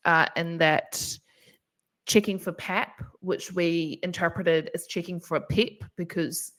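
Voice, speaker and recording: A woman's voice: on the low side, pushed and bright. A woman with a New Zealand accent hosting a live question-and-answer webinar, chatty and friendly. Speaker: webinar host and moderator.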